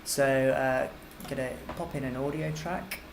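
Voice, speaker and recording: A man giving an informal, step-by-step software tutorial as a music technology teacher, explaining as he goes. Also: medium pitch, steady tone, normal speed. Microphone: computer microphone. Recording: clearly noisy computer microphone recording.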